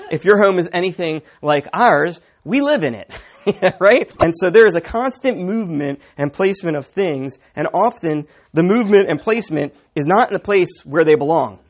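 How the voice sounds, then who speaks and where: A man preaching a sermon as pastor, with a sniffly, slightly raspy voice, talking conversationally, chuckling and often asking the congregation "right?". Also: a little high.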